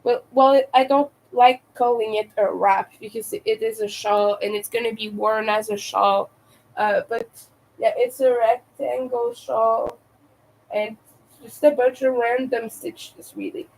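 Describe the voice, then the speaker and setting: A woman with a raspy, soft-spoken voice, sick and low on energy, chatting casually and hesitantly on a livestream. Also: steady tone, measured pace, rough recording. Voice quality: raspy from vocal cord damage. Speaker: livestream host, crafter.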